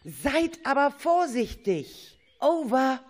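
A woman's voice: high voice, big pitch swings, slow, darkish tone, exaggerated voice. A woman acting as a worried leader of a children's outing in a radio play, making urgent calls. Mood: anxious. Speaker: adult leader accompanying a children's group.

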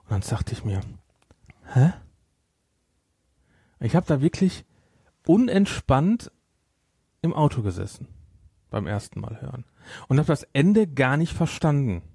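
A man hosts a casual podcast discussion. His voice is soft-edged and mellow, a touch low, and recorded dry and close. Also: sing-song, a little slow, vocal fry, long pauses, husky, no room sound. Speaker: podcast host.

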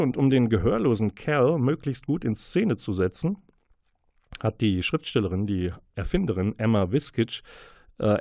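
A man hosting a radio book show, presenting reviews and interviews in a deliberate, wordy, playful announcer manner. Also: on the low side, dark tone, no room sound.

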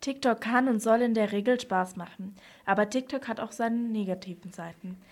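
A woman's voice: fairly high pitch, normal speed, a little mellow. A woman presenting on a children's radio programme, explaining things in scripted dialogue.

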